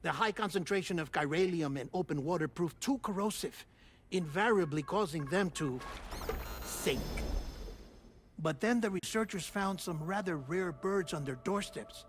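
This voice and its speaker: Game cutscene: eccentric male scientist man acting, conversational storyteller, theatrical and affable, higher register, faint background noise. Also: close to an everyday voice. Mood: expository, intrigued. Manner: theatrical, conversational storytelling with chuckles and dramatic pauses. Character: eccentric, affable male researcher recording an explanatory message. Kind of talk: video game cutscene monologue, explanatory narration.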